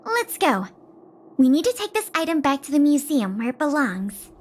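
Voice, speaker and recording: An upbeat young museum sleuth. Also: female voice, sits high, very animated, normal speed, slight background noise. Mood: bright. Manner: eager, polite and businesslike. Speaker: museum official in a game story. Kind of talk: scripted game dialogue. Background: game music.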